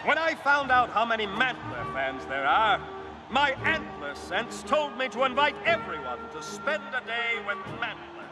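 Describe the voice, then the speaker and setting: A man's voice: extremely high pitch, sing-song, moderate pace, loud music behind the voice, poor audio. A man voicing a pompous costumed cartoon superhero who refers to himself by name. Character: pompous male costumed superhero idol, secretly cowardly.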